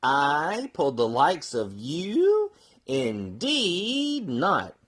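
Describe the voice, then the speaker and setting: A performer voicing a haughty, boastful passenger engine in a children's storybook, pompous and scornful with drawn-out words. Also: mid-range voice, huge pitch swings, fairly bright tone, voice pushed down, exaggerated voice.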